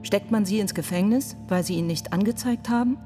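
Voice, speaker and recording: A woman acting in a radio play as a sharp, indignant defence lawyer pleading in court, with a pointed, rhetorical manner. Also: mid-range voice, fairly quick, natural voice. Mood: challenging. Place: studio. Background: music.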